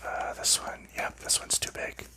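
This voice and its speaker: Man whispering ASMR board game packing. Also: unhurried. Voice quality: soft unvoiced whisper. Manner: relaxed, chatty, narrating each small step with fillers. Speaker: ASMR channel host.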